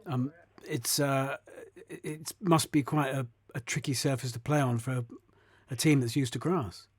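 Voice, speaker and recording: A man working as a sports interviewer, asking conversational questions in a halting, tentative way. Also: mid-range voice, steady tone, dry recording, studio quality.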